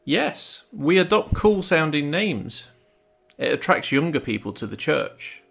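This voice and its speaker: Guy voicing helpful church man in adventure game, plain measured talk, bit gravelly, music behind. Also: on the high side, very animated, a bit bright, heavily acted. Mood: matter-of-fact.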